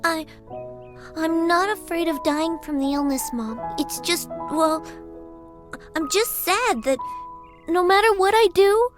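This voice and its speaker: Game role: lonely, gentle small girl, extremely high voice, unhurried and hesitant, over clear music. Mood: sad, hurt. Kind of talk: animated video game cutscene acting.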